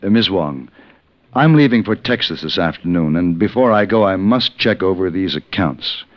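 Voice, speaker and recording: An actor playing a suave, wry gentleman gunfighter with a measured, articulate delivery in an old radio western. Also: low voice, lively intonation, no echo. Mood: dry, amused. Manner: measured, dryly amused, articulate. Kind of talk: 1950s radio western acting with narration. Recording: muffled old radio recording.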